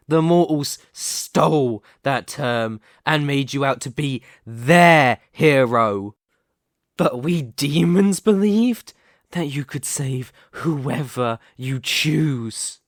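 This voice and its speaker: A man's voice: medium pitch, fairly bright tone, no room sound, clean studio sound. A man playing a reborn demon king in a scripted ASMR roleplay, smugly menacing, possessive and seductive, with a theatrical, condescending delivery. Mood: persuasive, fervent. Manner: theatrical, deliberate, condescending, grandiose.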